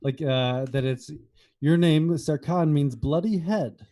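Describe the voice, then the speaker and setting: A man's voice: on the high side, takes it slowly, mellow. An American man co-hosting a podcast in casual chat, with a wry, teasing manner. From United States. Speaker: podcast co-host.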